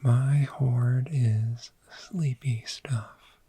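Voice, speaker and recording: A man voicing a friendly, gentle dragon in a soft-spoken, soothing ASMR roleplay, with a breathy whisper and long pauses. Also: mid-range voice, steady tone, no room sound, full character voice. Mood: cozy, welcoming. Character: friendly, gentle cave dragon fond of sleep.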